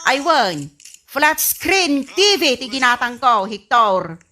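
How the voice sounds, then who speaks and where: A woman's voice: sits high, big pitch swings, takes it slowly, pushed and bright, heavily acted. A woman playing a stubborn, boastful, spendthrift married daughter with an Ilocano Filipino accent in a comedy radio drama, brash and defiant. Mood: smug.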